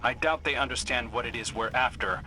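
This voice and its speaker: Guy voicing a tired dry-witted prince in a game, short clipped lines, clear noise in the background. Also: medium pitch, a little fast, natural voice. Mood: focused. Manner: dry, terse. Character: weary, dry-witted warrior prince guiding a comrade. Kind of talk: video game mission dialogue. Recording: clearly noisy game ambience.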